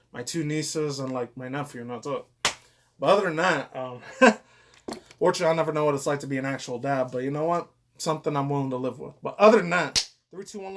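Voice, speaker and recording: A man's voice: on the high side, background noise. A young man giving rambling, casual commentary as a vlogger, slangy, digressive and full of swearing.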